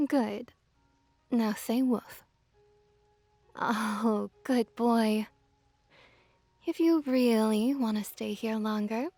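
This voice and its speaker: A woman's voice: on the high side, dry recording. A woman playing a teasing, dominant yet doting kitsune witch in an ASMR roleplay, cooing and often dropping toward a whisper. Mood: coolly teasing. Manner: cooing, teasing baby talk, soft whispery passages.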